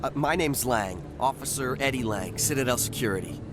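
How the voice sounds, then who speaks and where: Man playing an eager young rookie cop, mid-pitched. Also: expressive, fairly quick, over music, natural voice. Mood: starstruck. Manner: chatty, boyish enthusiasm, deferential. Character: eager, starstruck young human rookie policeman. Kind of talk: natural video game acting.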